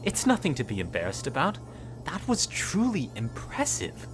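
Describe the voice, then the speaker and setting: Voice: medium pitch, huge pitch swings, moderate pace, low-quality recording. An actor voicing an encouraging pony music teacher, patient and instructive in an audio drama. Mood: gentle, impressed.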